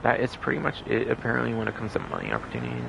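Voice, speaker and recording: A man with a gravelly voice doing rambling livestream commentary on a video game, repeating his phrases over and over. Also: lowish voice, wildly swooping pitch, racing. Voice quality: gravelly, creaky voice. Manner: frequent throat clearing. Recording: noticeable background noise.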